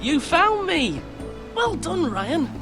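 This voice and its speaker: An actor playing a gentle, youthful teenage lord in a fantasy game, with a soft-hearted manner. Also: male voice, very high voice, sing-song, slow pace, music in the background, heavily acted. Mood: reassuring. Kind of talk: dramatic fantasy game acting.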